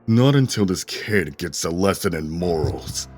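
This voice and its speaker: A man's voice: low-pitched, lively intonation, a little slow, darkish tone, soft background music. A man playing a haughty, short-tempered young swordsman in an audio drama, throwing formal, contemptuous insults.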